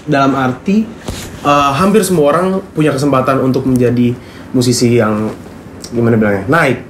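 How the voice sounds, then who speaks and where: A man's voice: medium pitch, moderate pace. A young man with a colloquial Jakarta Indonesian accent, chatting informally and in slang as an interview guest. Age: young adult. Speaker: band member, interview guest. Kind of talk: casual interview chat. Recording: roomy echo, faint background noise.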